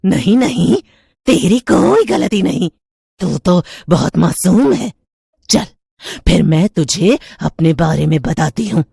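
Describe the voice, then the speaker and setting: A woman voicing a menacing witch who poses as a new neighbour, taunting slowly in a cartoon horror story. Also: on the low side, animated, creaky, warm tone, husky, no echo, studio quality, heavily acted. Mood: mocking menace. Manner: taunting, slow and deliberate threats.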